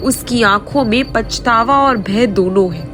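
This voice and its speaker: A woman's voice: a little high, measured pace. A woman narrating a folk tale as a dramatic scripted story. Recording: faint music behind the voice.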